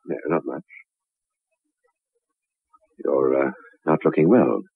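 Suspicious husband feigning concern in vintage radio play; husky, deliberate, on narrow-band audio. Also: male voice, a bass voice, no room sound, heavily acted. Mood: coaxing. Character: suspicious husband, smoothly over-solicitous. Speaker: radio drama actor. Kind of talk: 1960s radio drama acting. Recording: muffled, narrow-band 1960s radio recording.

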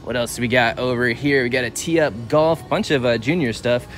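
A man's voice: mid-range voice, a little fast, faint music behind. A man in his thirties hosting a casual vlog, rambling through store aisles with lots of fillers and self-corrections, recorded on a handheld camera indoors. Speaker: vlog host. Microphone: handheld camera held by the filmer.

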